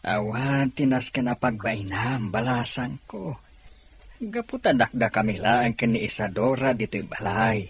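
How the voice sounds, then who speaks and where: A man playing a kind, fatherly gentleman with a gentle, reassuring manner in an audio drama. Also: medium pitch, a little slow, breathy edge.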